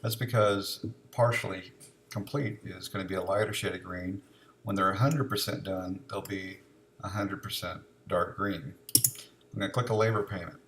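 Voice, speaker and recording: A man giving an informal, unscripted step-by-step software demonstration, explaining each step as he goes. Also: low voice, steady tone, normal speed, slight background noise.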